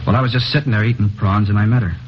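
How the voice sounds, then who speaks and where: A man's voice: fairly low pitch, steady tone, a little hiss or hum, natural voice. An actor playing a nervous, evasive young man who has come to confess a murder in a 1950s radio drama. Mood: uneasy. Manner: halting, insistent, repeats himself. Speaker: murder suspect. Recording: dull old radio broadcast recording.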